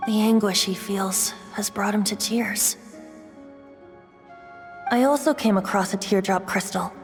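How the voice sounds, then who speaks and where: A woman voices a grave, composed female character in an anime game. Her mid-pitched voice rings in long reverb over faint music. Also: fairly even intonation, measured pace, lightly acted. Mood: solemn.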